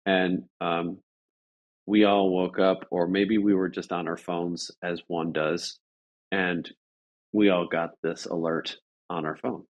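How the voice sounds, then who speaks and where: Male interview guest, lowish voice, conversational, halting and agreeable storyteller. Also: flat delivery, muffled recording. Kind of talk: casual anecdote in an interview.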